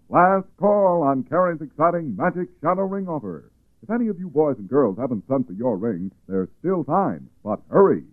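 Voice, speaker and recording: Animated, punchy American radio announcer man. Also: on the high side. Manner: punchy, urgent salesmanship. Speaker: announcer and sponsor pitchman. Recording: tinny 1940s radio recording.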